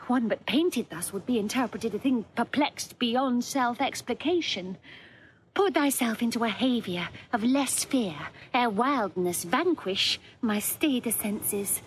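An anguished young princess speaks in a high voice with declamatory passion. She is proud and wronged, in the manner of classical Shakespearean audio drama, with clear background noise behind her. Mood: anxious, urgent. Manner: impassioned, declamatory.

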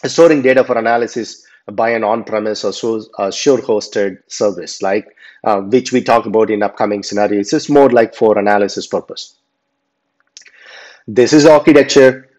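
Low-voiced man lecturing over slides. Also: normal speed, darkish tone. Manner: unscripted, many fillers and restarts. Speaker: instructor. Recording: dull lo-fi voice recording.

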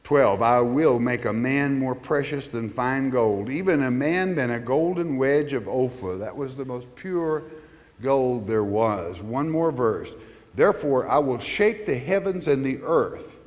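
Pastor in a reverberant hall. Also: male voice, mid-range voice, lively intonation, unhurried. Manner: conversational, asks the listeners questions, reads scripture aloud. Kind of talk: Bible study teaching.

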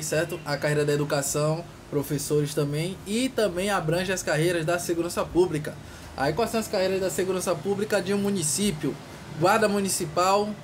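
Guy in his 30s vlogging exam news on his phone, slightly high voice, bit of noise behind him. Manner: repeated tag questions, emphatic repetition. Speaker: vlogger on public service exams. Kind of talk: informal news update to camera. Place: small tiled utility room indoors. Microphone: phone at arm's length. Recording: noisy small-room phone recording.

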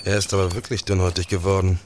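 An actor playing a police detective and war veteran in a radio play. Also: male voice, low-pitched, level tone, racing, slight background noise, natural voice. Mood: measured. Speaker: actor in an audio drama. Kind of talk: German radio play acting.

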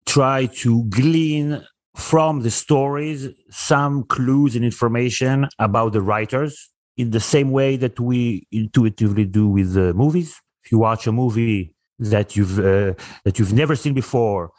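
A man's voice: mid-range voice, darkish tone, no room sound. A man whose first language is Hebrew, a guest on a podcast, explaining his ideas thoughtfully with some fillers. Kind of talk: casual podcast conversation.

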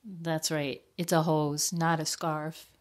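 Older woman, lowish pitch, slow, deliberate, children's storytime read-aloud, questioning. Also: flat delivery. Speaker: library storytime reader.

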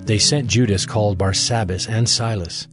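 A man narrating scripture as a scripted audio drama, in a measured, formal manner. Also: fairly low pitch, steady tone. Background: music.

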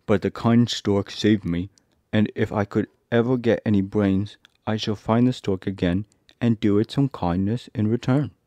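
A man voicing a grateful, warm-hearted scarecrow in a storybook reading, close to his own voice. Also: fairly low pitch, steady tone, moderate pace, no echo, lightly acted.

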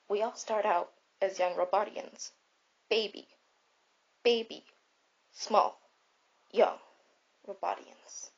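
A woman playing a gentle robot mentor in a comfort roleplay, speaking in a halting, repetitive, earnest and encouraging way. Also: mid-range voice, slightly rough. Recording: dull lo-fi home recording.

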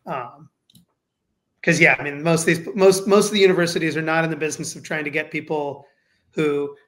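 A faculty member hosting a Q&A session on a video call, answering with a measured manner and many fillers. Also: male voice, on the high side, fairly even intonation, fairly bright tone. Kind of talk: casual Q&A moderation.

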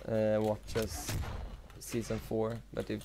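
A young man with a Swedish accent rambles along over his gameplay, slow and low-pitched, with a gravelly, flat delivery full of fillers. Loud noise and clicking sit under his voice. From Sweden. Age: teens. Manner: rambling, many fillers and pauses. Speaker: gaming YouTuber. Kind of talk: casual commentary while playing.

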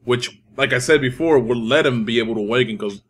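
A man's voice: mid-range voice, fast talker, a bit bright. A young man of Dominican background giving casual, profane, trash-talking commentary over his own online match. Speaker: gaming YouTuber. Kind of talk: live gameplay commentary. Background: game music.

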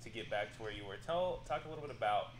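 A man's voice: lowish voice, expressive, very fast, roomy sound, slight background noise. A young man hosting an interview, asking chatty questions with fillers and jokes from a couch. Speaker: interviewer host. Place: indoor studio set with couch.

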